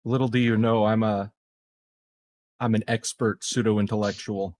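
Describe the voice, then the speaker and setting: A man's voice: fairly low pitch, level tone, moderate pace, darkish tone, no room sound, studio quality. A man chatting casually as a player in a tabletop roleplaying game.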